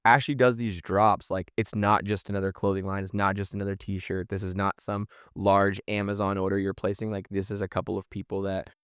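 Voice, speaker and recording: A man's voice: low-pitched, little pitch movement, brisk, hardly any pauses, a bit bright, clear, resonant tone, dry recording, studio quality. A man chatting casually as a podcast guest, earnest and encouraging in his manner.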